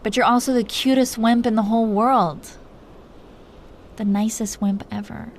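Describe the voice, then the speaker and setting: A woman's voice: on the high side, steady tone, normal speed, a little hiss or hum, natural voice. A woman playing a protective, doting dragon queen who teases her lover tenderly in an intimate roleplay. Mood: teasing, fond. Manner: tender, playfully teasing, breathy whispers at times. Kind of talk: intimate roleplay audio, soft acting.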